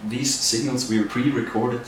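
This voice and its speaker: A man's voice: mid-range voice, steady tone, a little slow. A man from Austria with an Austrian accent, a native German speaker, explaining a project as a sound artist. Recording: roomy echo, faint noise.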